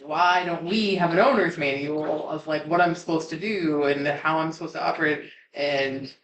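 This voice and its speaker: A woman's voice: low voice, normal speed. A woman in a class discussion, speaking conversationally with frequent "like". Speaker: class participant. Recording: echoey room.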